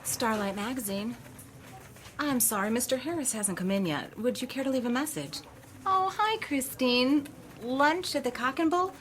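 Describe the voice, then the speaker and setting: An actress playing a polite, helpful magazine office assistant with a placating manner in a radio drama. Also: female voice, sits high, normal speed, noisy background, natural voice.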